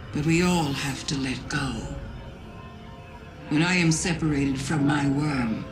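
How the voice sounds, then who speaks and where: Slow, echoing alien witch queen. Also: very deep voice. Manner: slow, reflective, softly insinuating. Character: ancient, wistful, scheming alien witch queen. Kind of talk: dramatic video game monologue. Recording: echoing voice over prominent music.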